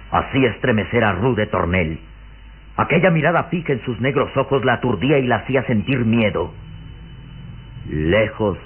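A man's voice: deep voice, a little hiss or hum. A man narrating a radio serial in a solemn, suspenseful, dramatic scripted style. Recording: muffled old radio recording. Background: loud dramatic music.

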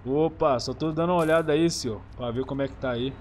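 A man's voice: fairly high pitch, fast talker, darkish tone, a little hiss or hum. A Brazilian man giving casual, enthusiastic gameplay commentary full of slang, speaking into a gaming headset. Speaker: streamer, host of the channel.

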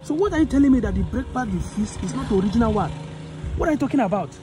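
A man's voice: very high voice, animated, racing. A man with a Nigerian accent playing a generous, wronged customer, speaking emphatically and repeating himself in a skit. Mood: indignant, baffled. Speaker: actor in an online skit. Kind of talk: improvised moral skit acting. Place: outdoors in an open car yard. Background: music, vehicles.

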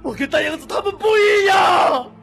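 A man's voice: very high voice, animated, moderate pace, creaky, raised voice, a loud crowd, pitched above the performer's own voice, full character voice. A man voicing an unstable, haunted young man who frantically denies his guilt in an audiobook, muttering and repeating himself. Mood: hysterical screaming. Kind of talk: audiobook character acting. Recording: dramatic music behind the voice.

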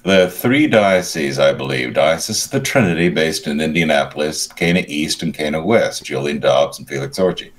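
An older man giving news commentary over a video call, halting and searching for words while he has a cold. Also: extremely low pitch, animated. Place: home room, video call.

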